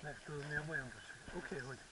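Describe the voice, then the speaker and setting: A man chatting casually at a lakeside while steering a model sailboat, thinking aloud and asking questions. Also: a little high. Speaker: model boat racer. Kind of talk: casual outdoor chat. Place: lakeside outdoors. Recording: distant voice with loud wind noise. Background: wind and outdoor ambience.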